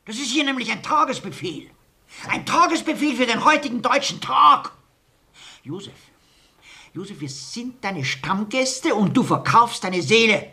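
An actor playing an outraged, scornful pub regular in a radio play, scolding in exclamations. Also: male voice, very high voice, lively intonation, unhurried, bright, forceful tone, exaggerated voice. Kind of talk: stage-like radio play acting.

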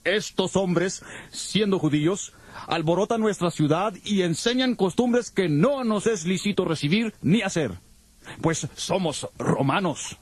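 An indignant master of slaves in a Bible drama, declaiming accusations. The voice is very high for a man and rough, recorded dry. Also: expressive, measured pace, no room sound, full character voice. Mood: indignant accusing. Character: indignant slave owner accusing foreigners before magistrates.

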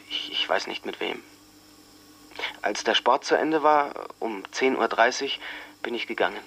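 An actor playing an earnest young boyfriend giving a statement in a radio drama, halting and plain-spoken, repeating words. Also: male voice, on the low side, a bit bright, a little hiss or hum.

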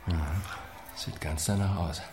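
Male programmer for radio drama: low, understated, defensive, short clipped answers, clear music underneath. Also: fairly even intonation, measured pace. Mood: weary.

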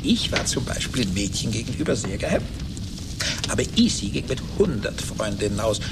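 A man's voice: fairly low pitch, sing-song, moderate pace, vocal fry. An actor playing a bitter, boastful rich man who mocks old friends with sarcastic politeness in a radio play. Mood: sardonic. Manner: sarcastic, mock-polite, gloating. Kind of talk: 1980s German radio play acting. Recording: noisy radio play recording, background ambience.

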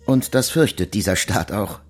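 Actor as controlled male agent for radio drama: lowish voice, businesslike, lecturing, faint music bed. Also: expressive, normal speed, lightly acted. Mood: cool, lecturing. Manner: matter-of-fact, didactic. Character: cool, authoritative male agent briefing fugitives. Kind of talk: German radio drama acting.

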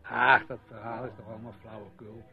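Man acting a sceptical pub-regular doctor, gruff, grumbling, creaky voice, radio drama, muffled old recording. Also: mid-range voice, flat delivery, fairly quick, close to an everyday voice. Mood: scoffing. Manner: gruff, scoffing.

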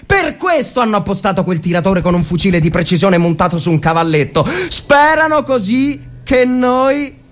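A stern wartime lieutenant, acted by a male reader in a dramatic story reading. His voice sits slightly high for a man, and he commands with biting, theatrical sarcasm. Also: very animated, moderate pace, few pauses. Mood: stern, commanding. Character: stern, sardonic Italian army lieutenant in a WWI trench.

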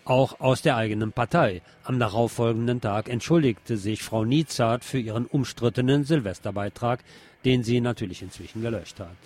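A man's voice: medium pitch, normal speed, a little mellow. A man presenting scripted news commentary as the host of a show.

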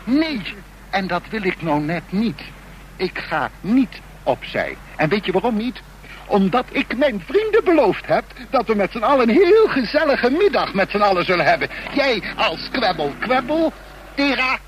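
An actor playing a theatrical, menacingly playful gang leader in a radio play, taunting with mock politeness. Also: male voice, high voice, sing-song, normal speed. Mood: taunting menace. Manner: taunting, mock-polite, sing-song repetitions. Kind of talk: radio drama acting. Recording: noisy old radio play recording.

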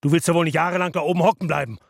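An actor playing a weary male detective who narrates in a low, brooding way and turns harsh and angry on the phone in a radio drama. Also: steady tone, rapid-fire, vocal fry, no echo, clean studio sound.

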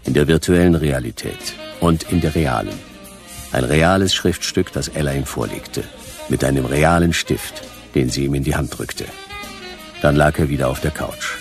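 A laconic noir detective telling his case in a radio drama. His voice sits extremely low and crackles with fry. He stays terse and deadpan over faint music. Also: normal speed. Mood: matter-of-fact. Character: laconic hard-boiled private detective narrating his case. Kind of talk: noir radio play narration.

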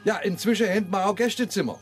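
An actor playing a pious, hospitable old church elder with a homely, chatty way of talking, in a radio drama. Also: male voice, high voice, fast, soft background music, natural voice. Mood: welcoming, proud.

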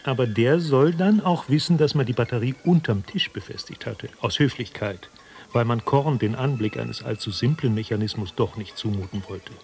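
A man narrating a literary radio drama in a measured, formal, dryly ironic manner, with a slightly husky voice. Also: medium pitch, darkish tone, soft background music, natural voice. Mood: detached, wry. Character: detached, wry literary narrator of an absurd tale.

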